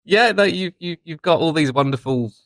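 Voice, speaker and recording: A man in his thirties chatting casually as a podcast co-host, joking in a deadpan way. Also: fairly high pitch, moderate pace, no echo. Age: 30s. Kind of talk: casual bantering podcast chat. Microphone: online call.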